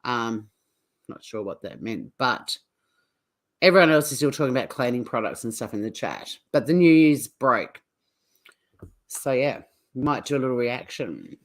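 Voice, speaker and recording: A woman's voice: extremely low pitch, big pitch swings, a little slow, pauses often. A woman hosting a casual livestream, chatting to viewers in an informal, teasing way. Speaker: livestream host.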